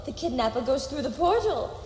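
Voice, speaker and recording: A high, animated lady scientist. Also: a little fast. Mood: cheerful, instructive. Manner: animated, genteel, chiding. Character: bright, scatterbrained, bossy lady scientist. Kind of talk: sci-fi comedy audio drama acting. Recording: echoey hall, faint background noise.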